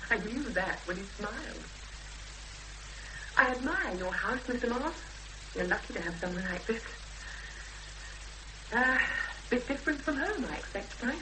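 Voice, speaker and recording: A woman playing an earnest young woman in a 1970s British radio drama, expressive and hesitant when pressed, on an old recording. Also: a little high, normal speed, long pauses, background noise, natural voice. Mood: anxious, pleading. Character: Emma, earnest young woman searching for missing sister.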